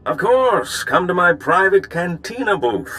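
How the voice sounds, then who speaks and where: An actor playing a charming, worldly older gambler and informant in a video game, suave, genteel and self-assured. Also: male voice, high voice, sing-song, a little slow, bright, forceful tone, exaggerated voice. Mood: warm, jovial.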